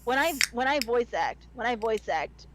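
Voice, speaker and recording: A woman with a strong Midwestern American accent and a nasal, slightly high voice. She talks in a quick, excitable way, circling back on her words when she gets going. Her chat is loose and full of swearing. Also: background noise. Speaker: guest voice actor. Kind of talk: casual livestream chat.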